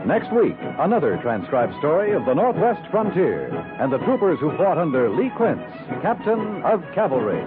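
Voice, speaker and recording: Animated male 1950s radio announcer. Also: a little high, normal speed, few pauses. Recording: phone-like 1950s radio recording with music.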